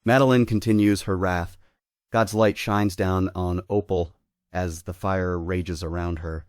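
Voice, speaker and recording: A man's voice: low-pitched, fairly even intonation, a little slow, darkish tone, no room sound, studio quality. A man giving scripted narration, reading out the stage directions of a play.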